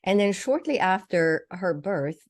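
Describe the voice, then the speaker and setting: Older woman, from Sweden, slightly low voice, dry close sound, vivid personal storytelling, interview guest. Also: animated, a little slow, no room sound. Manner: vivid, detailed telling with acted-out quotes. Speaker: guest, naturopathic doctor and spiritual teacher.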